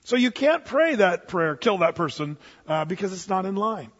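Male pastor, high-ish voice, conversational sermon with playful asides; muffled sound in a reverberant sanctuary. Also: sing-song, moderate pace, a bit bright, slightly rough. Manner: conversational, joking asides, acts out quoted voices. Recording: dull sound with long hall reverb.

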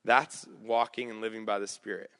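A man preaching a conversational sermon, his voice slightly raspy, with frequent fillers and restarts. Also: fairly low pitch, little pitch movement, normal speed, sharp tone, no room sound. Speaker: pastor.

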